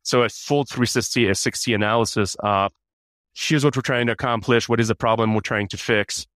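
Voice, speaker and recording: A man's voice: mid-range voice, steady tone, a little fast, fairly bright tone, no room sound. A man from Canada, answering questions as a guest in a business interview, earnest and often repeating words as he restarts. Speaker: guest, chief people officer.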